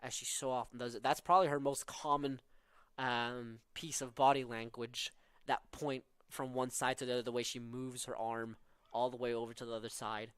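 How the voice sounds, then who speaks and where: A man's voice: mid-range voice, flat delivery, moderate pace, fairly bright tone, clean tone, dry recording. A young man from southern Norway with a Norwegian accent, calling live college soccer with tactical analysis and frequent fillers. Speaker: play-by-play commentator.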